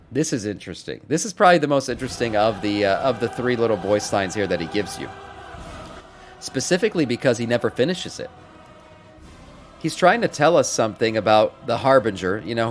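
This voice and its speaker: Male gaming streamer, low register, chatty and emphatic lore breakdowns, music under the voice. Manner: conversational, emphatic, repeats phrases for stress. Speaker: gaming content creator and streamer. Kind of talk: casual game lore commentary.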